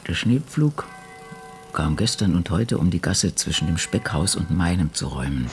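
Man as an ageing woman novelist, animated and brooding. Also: sits low, full character voice. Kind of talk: literary radio drama monologue, reading diaries and drafts.

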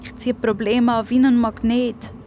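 A woman's voice: on the high side, flat delivery, measured pace, mellow, a little hiss or hum, voice pushed up. A woman with a Swiss German accent voicing an anxious but loyal young girl in a children's audio story. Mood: worried.

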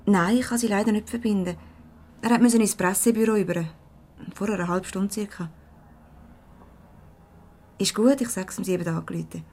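An actress with a Swiss accent playing a chatty, easily exasperated young secretary in a radio comedy. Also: female voice, medium pitch, brisk, long pauses, a little mellow, natural voice. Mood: businesslike.

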